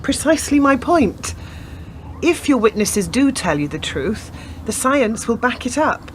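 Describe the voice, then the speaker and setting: A woman's voice: a little high, sing-song, a little slow. A woman playing an earnest, stubborn young policewoman in a radio play, speaking persuasively. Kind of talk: scripted radio play acting. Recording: community radio drama with clear background noise.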